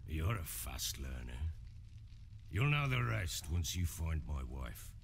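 An actor playing a gruff, burly middle-aged baron in a video game, with weighty, deliberate delivery. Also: male voice, a bass voice, very animated, full character voice. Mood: grim, brooding. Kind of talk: dramatic game cutscene acting.

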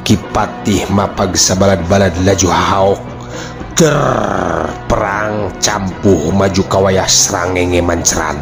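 A man's voice: deep voice, takes it slowly, vocal fry, over music. A man with a Sundanese accent narrating a folk tale as a dramatic storyteller.

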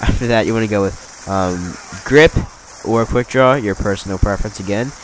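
A man's voice: fairly low pitch, moderate pace, a little hiss or hum, rough recording. A young man with a cold, sounding stuffed up, giving casual, rambling gameplay commentary and correcting himself as he goes. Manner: rambling, self-correcting, upbeat. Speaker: gaming commentator.

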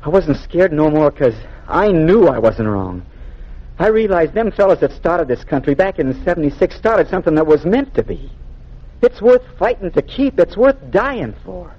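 Earnest young American soldier, muffled old radio. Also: male voice, on the high side, sing-song, moderate pace, breathy edge. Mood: resolute, humble. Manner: folksy, hesitant, plainspoken rural speech. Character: earnest, humble young Union soldier from Springfield. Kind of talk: 1940s radio drama acting.

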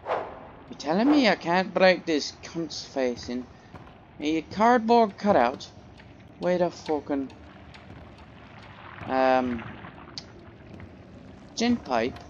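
A man doing let's play commentary in a put-on British accent, jokey, profane and loud. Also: on the high side, very animated, slow, long pauses, highs cut off, a little hiss or hum. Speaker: gamer.

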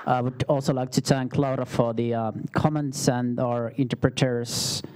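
A man's voice: mid-range voice, flat delivery, normal speed, a little mellow, a faint crowd. A man with a Finnish accent speaking as seminar moderator, giving informal closing remarks at a podium. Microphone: podium microphone.

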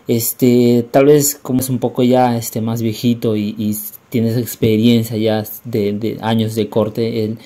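A man's voice: medium pitch, dark tone. A young man narrating a casual travel vlog in a rambling, hesitant way, often repeating words. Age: young adult. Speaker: vlogger.

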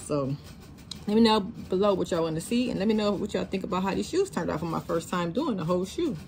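A woman's voice: lowish voice, animated, fast talker, darkish tone. A woman, chatting casually to viewers as she demonstrates shoe decorating in her craft studio. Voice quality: slightly raspy. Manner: chatty, talks to viewers as y'all. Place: craft studio with sewing and embroidery machines. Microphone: camera over worktable.

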